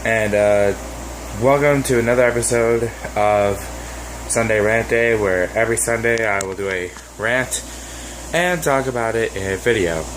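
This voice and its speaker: Hoarse man ranting on a vlog. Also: lowish voice, moderate pace, background noise. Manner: rambling, exasperated, with many fillers. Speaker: sports vlogger.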